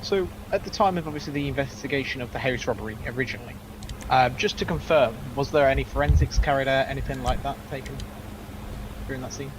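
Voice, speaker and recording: A man's voice: mid-range voice, fast, lightly acted. A man playing a polite, methodical lawyer questioning a witness in an online courtroom roleplay. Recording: clearly noisy game voice chat.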